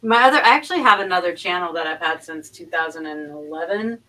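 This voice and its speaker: A woman chatting casually as a live-stream guest, explaining things in a halting way with repeated and restarted phrases. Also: fairly low pitch, animated, sharp tone, far from the mic, poor audio. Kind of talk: casual chat, explaining and recounting.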